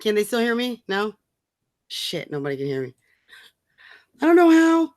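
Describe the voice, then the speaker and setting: A woman's voice: a little high, sing-song, normal speed, a little mellow. A woman co-hosting a live stream in casual, unscripted chat, blunt and slangy in how she talks.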